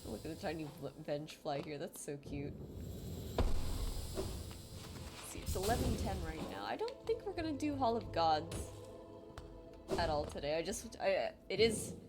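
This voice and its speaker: A woman's voice: on the low side, big pitch swings, a little slow, pauses often. A woman with a light Filipino accent, chatting casually on a game live stream. She reads chat aloud, is self-deprecating and laughs often. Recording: game music under the voice. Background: game music.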